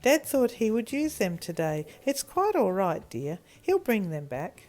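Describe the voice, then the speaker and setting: A woman voicing a calm, mild mother in a children's story, breezy and gently evasive. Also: mid-range voice, measured pace, soft background music.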